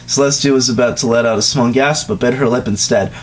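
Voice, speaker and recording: A man's voice: medium pitch, steady tone, quick pace, slight background noise. A man reading a story aloud, breaking off for mocking, sarcastic asides. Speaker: reader in a comedic dramatic reading.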